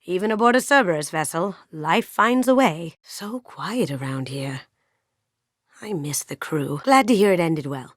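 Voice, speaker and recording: Sly lady master thief for a video game, low soft voice, teasing n wry, super dry studio sound. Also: animated, unhurried, no echo. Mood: gossipy, gentle. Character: sly, playful, sentimental young female master thief. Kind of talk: video game character dialogue.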